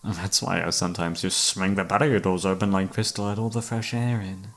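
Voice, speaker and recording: Man playing low, creaky obsessive killer, teasingly polite. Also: fairly even intonation, fairly quick, a bit bright. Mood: calm, coaxing. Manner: teasing, mock-polite, theatrical, savouring words. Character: obsessive, flirtatious male serial killer holding a detective captive. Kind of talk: ASMR roleplay acting. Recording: background music under the voice.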